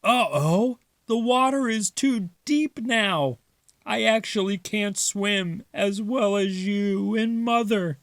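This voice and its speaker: A man voicing a gentle, cautious father mouse from a storybook, musing in an expressive, deliberate way. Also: high-pitched, no room sound, voice pushed up. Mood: puzzled, sheepish.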